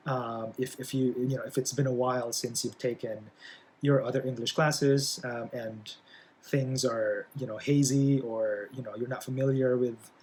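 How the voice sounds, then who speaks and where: A man's voice: mid-range voice, fairly even intonation, normal speed, darkish tone, low-quality recording. Man, hesitant lecturing with fillers. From Philippines. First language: Bisaya. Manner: hesitant, many fillers, frequent tag "right?". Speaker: college English instructor. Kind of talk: casual recorded class introduction.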